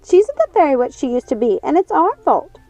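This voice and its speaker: A woman's voice: high voice, big pitch swings, brisk, dark tone, dry recording, pitched above the performer's own voice. A woman voicing a frantic, wheedling witch sister in a storybook, pleading shrilly and repetitively. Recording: dull lo-fi sound, music underneath.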